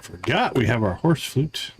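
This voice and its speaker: A man's voice: medium pitch, sing-song, a little slow, gravelly, faint music behind. A man hosting a gaming livestream, thinking aloud in casual, chatty commentary as he plays.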